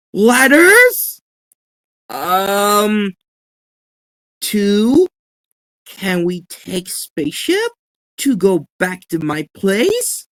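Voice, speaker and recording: A woman's voice: medium pitch, big pitch swings, very slow, long pauses, no echo, rough recording. Woman as Noah, an earnest cartoon adventurer. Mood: worried. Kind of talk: amateur cartoon voice acting.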